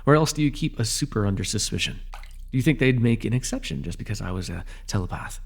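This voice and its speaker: A man's voice: lowish voice, animated. A man playing a bitter, commanding telepathic villain who was once a hero, speaking with sardonic deliberation in an ASMR superhero roleplay. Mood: bitter, hurt. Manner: sardonic, deliberate, addressing a captive.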